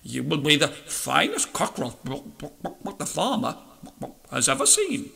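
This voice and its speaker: A man voicing a doting, flattering mother hen who clucks between words in a storybook tale. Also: a little high, normal speed, creaky, heavily acted.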